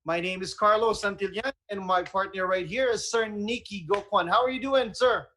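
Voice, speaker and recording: Male radio host, Filipino accent, high register, enthusiastic and chatty, casual talk-show delivery. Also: moderate pace, sharp tone. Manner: enthusiastic, conversational.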